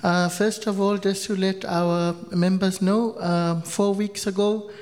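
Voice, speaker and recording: A man asking a polite, formal question from the floor at a conference, pausing often with "uh". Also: sits high, little pitch movement, a little mellow. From Samoa. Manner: polite, formal, many "uh" pauses. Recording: long reverb of a conference hall.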